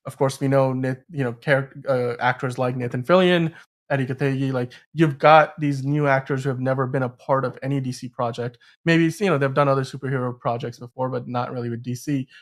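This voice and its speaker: A man's voice: mid-range voice, fairly even intonation, brisk. Man hosting a casual movie podcast. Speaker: podcast host.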